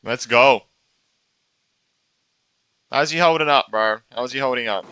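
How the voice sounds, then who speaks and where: A man's voice: medium pitch, measured pace, pushed and bright, clear, resonant tone, no room sound, dull audio. A young man casually commenting while playing a game and reading its dialogue aloud, his voice tired and strained. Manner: chatty, reads game dialogue aloud, says bro a lot. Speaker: gaming streamer. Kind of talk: casual let's-play commentary. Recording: game music underneath.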